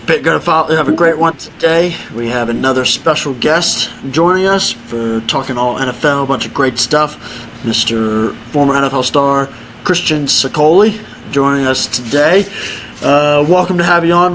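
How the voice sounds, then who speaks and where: A man's voice: mid-range voice, fairly bright tone, a little hiss or hum, low-quality recording. A man hosting a sports podcast in an upbeat, teasing way, often repeating himself. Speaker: podcast host.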